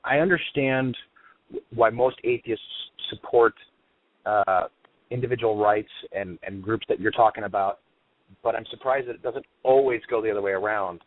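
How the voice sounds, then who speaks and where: A man guest giving measured, matter-of-fact advice in a phone interview. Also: lowish voice. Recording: dry tinny phone line.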